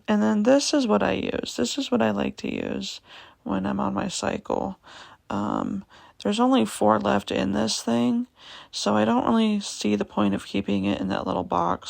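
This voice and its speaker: Raspy, halting woman with heavy vocal fry. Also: medium pitch, no room sound. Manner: hesitant, many ums, repeats herself. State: raspy voice, going in and out. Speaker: vlogger. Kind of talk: casual voiceover vlog.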